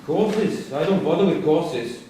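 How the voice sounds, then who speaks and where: Needling, dismissive prison inmate in stage drama, recorded from a distance with faint noise. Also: male voice, a little high, animated, unhurried, dark tone. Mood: guarded. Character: sardonic, provoking male prisoner playing a job interviewer. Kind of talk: staged play reading. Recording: distant echoey hall with background noise.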